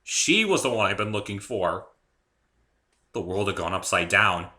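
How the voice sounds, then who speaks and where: Guy narrating his story dramatically, lots of swing in pitch, really sharp forceful tone, theatrical. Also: lively intonation, normal speed. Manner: theatrical, emphatic. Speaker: author reading own story.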